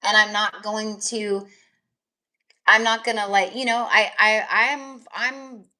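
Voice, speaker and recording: A woman's voice: mid-range voice, steady tone, slow, sharp tone. A woman tarot reader chatting casually on a live stream, rambling with lots of fillers, her voice tired and crackly. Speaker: tarot reader and energy healer.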